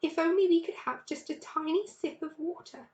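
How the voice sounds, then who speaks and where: A woman's voice: very high-pitched, moderate pace, highs cut off, voice pushed up. A woman reading a children's storybook aloud, voicing eager, pleading young fox cubs who are starving but plucky.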